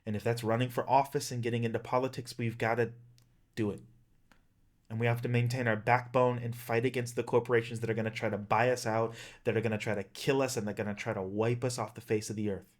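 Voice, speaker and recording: A man's voice: medium pitch, flat delivery, fast. A man in his thirties giving an earnest, emphatic personal rallying speech as a vlogger, in plain-spoken language. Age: 30s. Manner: impassioned, emphatic, plain-spoken with occasional swearing.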